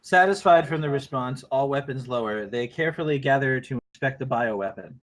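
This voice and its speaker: Measured man reading stage directions. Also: mid-range voice. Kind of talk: script narration at a table read.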